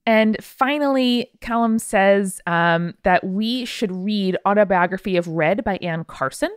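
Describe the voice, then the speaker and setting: A woman's voice: mid-range voice, measured pace, smooth, no echo. Irreverent woman podcast host, dry close sound. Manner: irreverent, wry asides. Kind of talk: reading out listener email with casual commentary.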